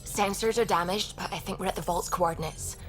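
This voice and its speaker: A woman's voice: on the low side, steady tone, a little fast, natural voice. A woman playing an alert starship crew officer in a video game, giving clipped, businesslike reports. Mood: focused. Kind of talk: video game cutscene acting. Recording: very loud music over the voice.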